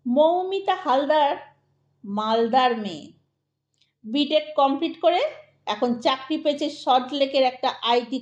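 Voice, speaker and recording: A woman narrating a scripted audio story in a deliberate, dramatic way. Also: sits high, darkish tone, lo-fi sound. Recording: echoey, reverberant voice track.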